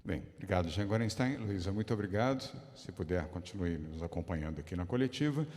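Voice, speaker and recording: A man's voice: deep voice, lively intonation, a little mellow. A man moderating a press conference, courteously calling on journalists. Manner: courteous, brisk announcing. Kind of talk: moderating questions. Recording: reverberant hall.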